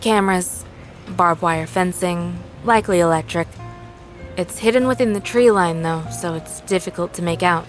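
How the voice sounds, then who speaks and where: A curious, polite puppet woman, voiced by an actress, with a fairly low voice. She speaks formally and precisely, in measured phrases, as in an audio drama. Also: faint music behind, natural voice. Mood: watchful.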